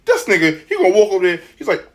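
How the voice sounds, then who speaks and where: A man telling a story in casual, slangy, animated style, often checking in with the listener. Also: sits high, fast talker.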